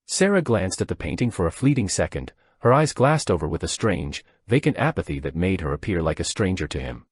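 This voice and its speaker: Male horror narrator, very deep register, lively intonation, measured dramatic storytelling, dry studio-like sound. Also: breathy edge, no room sound. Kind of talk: scripted narration of a slow-burn horror story.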